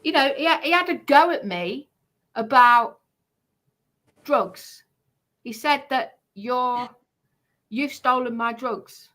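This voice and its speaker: A woman's voice: sits high, slow, pauses often, fairly bright tone. A woman giving a heated personal account on a livestream, speaking in an indignant, emphatic way and repeating herself for stress.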